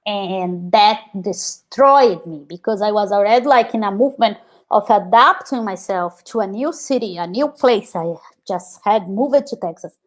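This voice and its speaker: Brazilian woman guest, earnest, reflective, lots of "like"s, middling pitch. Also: normal speed. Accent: Brazilian Portuguese. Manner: earnest, reflective, many filler "like"s. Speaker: guest, activist and scholar. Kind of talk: personal storytelling in conversation.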